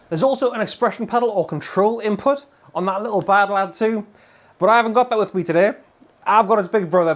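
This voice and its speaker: A middle-aged British man giving an enthusiastic, emphatic and colloquial gear demo to camera. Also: sits high. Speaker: guitar gear demonstrator. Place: indoor room full of guitars and amplifiers.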